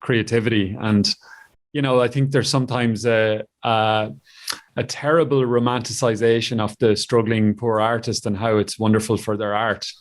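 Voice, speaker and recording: A man with an Irish accent and a fairly low voice, hosting a conversational podcast. He speaks reflectively, laying out his thoughts in measured, deliberate phrases. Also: fairly even intonation. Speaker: podcast host, interviewer.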